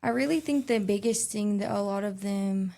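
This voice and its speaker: A young woman speaks with an earnest, chatty flow, quick and full of little restarts and fillers. Her voice sits at an ordinary middle pitch for a woman, and she talks as an interview guest. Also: gravelly, mellow. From Texas. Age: young adult. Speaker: guest, youth advocate. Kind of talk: casual interview conversation.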